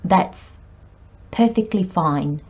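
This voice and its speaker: Croaky woman doing a tarot reading, slow and calm, kinda low voice, dull muffled recording. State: croaky, hoarse voice. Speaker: tarot reader. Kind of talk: spiritual tarot reading monologue.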